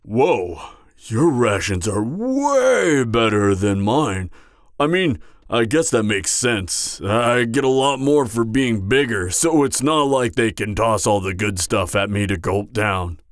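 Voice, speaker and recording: A man's voice: fairly low pitch, expressive, slow, dry recording, studio quality. A man playing an anxious, awkward, slightly drunk part-giant mercenary in an intimate fantasy audio roleplay, hesitant and stammering. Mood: grateful, relieved. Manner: hesitant, stammering, trailing off, sighing.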